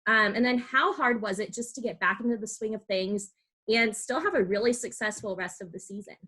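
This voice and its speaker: A woman's voice: medium pitch, a little fast, pushed and bright, husky. A woman hosting a casual sports podcast, asking questions in an informal, conversational way. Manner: conversational, informal, uses y'all. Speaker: podcast host and interviewer. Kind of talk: casual sports interview chat.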